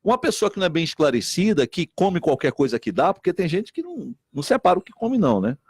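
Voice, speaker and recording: A man's voice: high voice, fast talker, husky, no room sound, clean studio sound. A middle-aged man from Recife, a state deputy and missionary pastor talking earnestly and repeating himself. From Recife, Brazil. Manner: earnest, repeats himself, quotes others in lively voices. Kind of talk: animated talk-show conversation.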